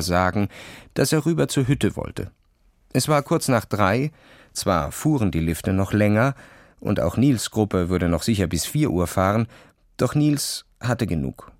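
Low, creaky male children's story narrator. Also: no echo, studio quality. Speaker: audiobook narrator.

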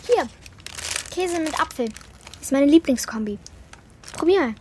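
A bold young girl in a children's radio play. Her voice is fairly high, blunt and inquisitive, with faint ambience behind it. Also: expressive, unhurried, darkish tone, slight background noise. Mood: curious. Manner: direct, inquisitive, decisive.

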